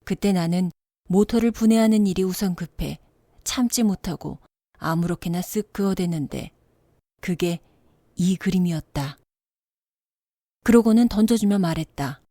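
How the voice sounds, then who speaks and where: Mellow, low-toned woman telling a bedtime story. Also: normal speed, long pauses, dry recording, clean studio sound. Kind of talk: scripted bedtime story narration.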